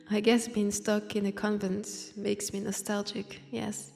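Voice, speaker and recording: A woman's voice: medium pitch, level tone, takes it slowly, gravelly, darkish tone, faint music behind. A woman with a French accent playing a wistful, wry centuries-old vampire in a convent, in an intimate audio roleplay, hesitant and sighing. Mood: tender, nostalgic. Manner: hesitant, sighing, drifting into near-whispers. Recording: long church-like reverb.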